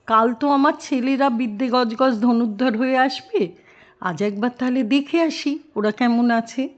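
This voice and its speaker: Gullible storybook father crocodile acted by a woman, simple and proud, higher pitched, slightly muffled sound. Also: measured pace, natural voice. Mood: proud, eager. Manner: eager, calling out, simple-minded.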